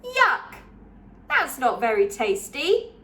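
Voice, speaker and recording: A woman's voice: on the high side, big pitch swings, drawn-out words, bright, forceful tone, a little hiss or hum, heavily acted. A woman voicing a big, greedy male ogre who grumbles and gloats about eating princesses.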